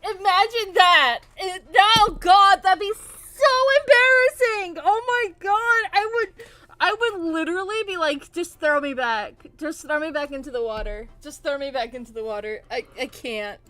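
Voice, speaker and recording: A woman's voice: extremely high pitch, moderate pace, pushed and bright, no room sound. A woman streaming a game with casual, profane and exclamatory reaction commentary.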